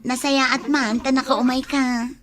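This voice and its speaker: A woman's voice: high voice, flat delivery, measured pace. A woman acting a dutiful family member in a comedy drama, given to chiding. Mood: helpful.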